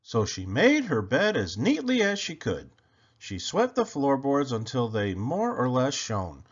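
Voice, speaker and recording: A man reading a children's picture book aloud expressively, with theatrical, deliberate phrasing, on slightly dull, lo-fi audio. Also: medium pitch, huge pitch swings, slightly rough.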